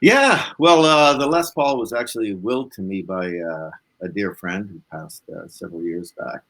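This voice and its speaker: A man's voice: sits low, normal speed, fairly bright tone. A man, chatting informally as an interview guest and rambling through his stories. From Canada. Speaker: guest, blues musician. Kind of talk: casual interview chat.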